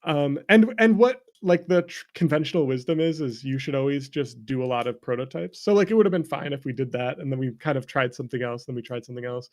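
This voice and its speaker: A man with a medium-pitched voice chats casually as an interview guest. He rambles as he explains things and often pokes fun at himself in a jokey way. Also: fast, hardly any pauses, darkish tone. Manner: rambling, self-deprecating, jokey. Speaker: interview guest, game developer. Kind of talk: casual chat.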